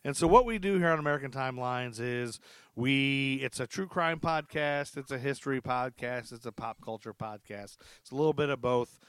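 A man hosting a podcast in casual, chatty, loosely phrased talk, quick to laugh. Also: medium pitch, a bit bright, clear, resonant tone, no room sound. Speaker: podcast host.

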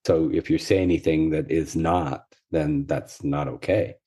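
A middle-aged man, a psychologist, talking in a thoughtful, measured way with a voice hoarse from a cold. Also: rumbling low, steady tone, warm tone. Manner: thoughtful, measured, self-correcting. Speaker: psychologist, podcast co-host. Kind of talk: casual conversation.

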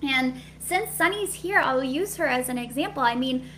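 A woman's voice: high-pitched, lively intonation, normal speed, fairly bright tone, a little hiss or hum. A woman with a sweet voice giving a live coaching talk to hairstylists, candid and chatty with lots of "like" fillers.

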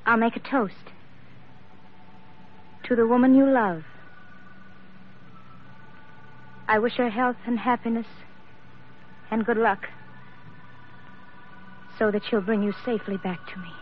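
A woman's voice: a little high, fairly even intonation, a little slow, pauses often, natural voice. An actress playing a tender, devoted woman parting from her lover in a classic radio drama. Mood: wistful, brave. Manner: tender, earnest. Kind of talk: classic American radio romance acting. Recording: thin, phone-like vintage radio recording, faint noise.

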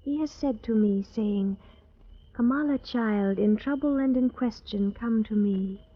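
A gentle, wide-eyed young maiden with a high voice and unhurried delivery. She speaks in archaic phrases, as in a radio play of long ago. The sound is slightly dull and aged. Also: slightly rough. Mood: earnest, trusting. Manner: stylized archaic phrasing, deliberate. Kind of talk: old-time radio drama acting. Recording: dull old radio recording.

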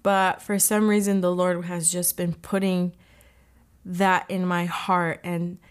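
A woman's voice: lowish voice, little pitch movement, takes it slowly, smooth. Earnest woman sharing her faith. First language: English. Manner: earnest, gently emotional. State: voice catching with emotion. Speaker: podcast host. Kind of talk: heartfelt faith reflection.

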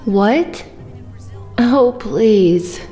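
An actress playing a guarded, streetwise club bartender in an audio drama, with a wary, dry delivery. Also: female voice, on the high side, lively intonation, drawn-out words, warm tone, in a large hall, soft background music. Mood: wary, defensive. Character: guarded, streetwise young female club bartender, worried and defiant.

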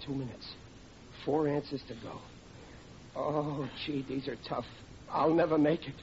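A man's voice: a little high, steady tone, measured pace, a little mellow. An actor playing a bumbling, protesting middle-aged family man in old radio comedy. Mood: agonized, wavering. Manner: blustering, hapless, protesting. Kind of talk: 1940s radio comedy acting. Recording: muffled old radio recording with clear noise.

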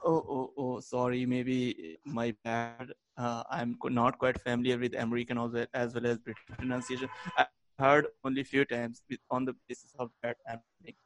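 A man's voice: mid-range voice, flat delivery, moderate pace, hardly any pauses, rough recording. A man whose first language is Hindi, joining a video call and speaking English eagerly but hesitantly, with frequent fillers. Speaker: caller joining the meeting. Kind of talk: casual video-call chat.

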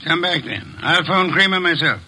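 A man's voice: on the high side, normal speed, bright, forceful tone, husky, full character voice. An actor playing a shrewd, formal male detective who speaks in a precise, commanding way, in 1940s radio style.

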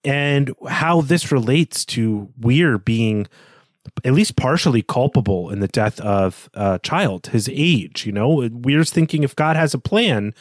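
A man's voice: medium pitch, expressive, a little slow, dry recording, clean studio sound. A man co-hosting a podcast, talking through a literary discussion in an analytical, explanatory way with clarifying asides. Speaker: podcast co-host.

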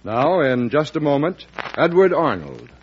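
A man's voice: a little high, very animated, a little slow. A man announcing a vintage radio show from a script in a formal, declamatory manner. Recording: muffled, faintly noisy old radio recording.

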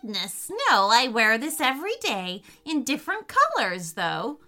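A kindly old witch from a storybook, acted out by a woman, fussy and chatty. Her pitch swoops about in a bright, exclamatory voice, with music underneath. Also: wildly swooping pitch, unhurried, full character voice. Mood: friendly, chatty. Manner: exclamatory, fussy, repeats herself.

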